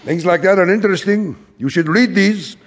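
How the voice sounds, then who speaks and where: A man's voice: high-pitched, moderate pace. An actor playing a wistful, middle-aged immigrant father who philosophizes and repeats himself in an audio drama. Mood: eager. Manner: rhetorical, repetitive, philosophizing. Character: wistful, rambling immigrant father, a frustrated commercial traveler. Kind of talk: theatrical audio drama acting. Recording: reverberant hall stage recording.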